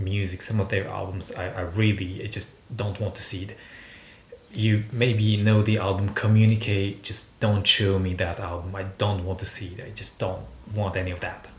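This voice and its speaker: A man from Slovakia with a Slovak accent, talking casually to camera in a hesitant, rambling way, often repeating words. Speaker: video host, record collector. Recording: roomy echo, noticeable low rumble noise.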